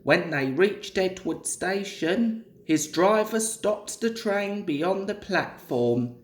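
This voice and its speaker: A woman reading a children's story aloud in a measured, deliberate way, as a scripted storybook narration. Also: mid-range voice, lively intonation. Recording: echoey room.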